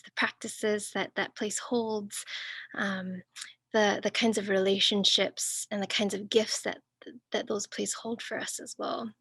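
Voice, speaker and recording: A woman from Singapore and northern Thailand giving an academic talk over a video call, thoughtful and searching, with many pauses and restarts. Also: mid-range voice, creaky, breathy edge, no echo. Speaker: guest speaker.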